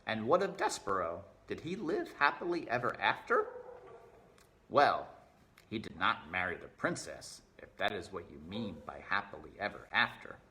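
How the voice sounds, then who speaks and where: Man, expressive children's book narration, bright forceful tone, unhurried, dramatic, faint background noise. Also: fairly low pitch, rough recording. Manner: deliberate, dramatic, addresses the listener directly. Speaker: storybook reader. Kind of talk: expressive read-aloud narration of a children's novel.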